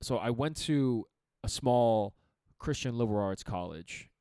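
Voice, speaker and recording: Young guy co-hosting a chill podcast, deep radio voice, smooth, laughs a lot. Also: a little slow, dry recording, clean studio sound. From Chicago area. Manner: animated, laughs often, talks in bursts with restarts. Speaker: podcast co-host. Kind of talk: casual podcast chat.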